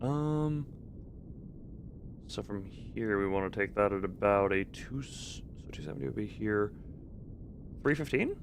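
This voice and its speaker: A man's voice: on the low side, a little slow, creaky, long pauses, slight background noise. A man giving casual gaming commentary, thinking aloud in a wry way and muttering numbers and plans to himself as he streams. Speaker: game streamer.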